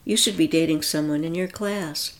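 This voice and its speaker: A woman voicing a tired, kind adult male ballet teacher who talks gently and carefully. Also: low voice, moderate pace. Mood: gentle.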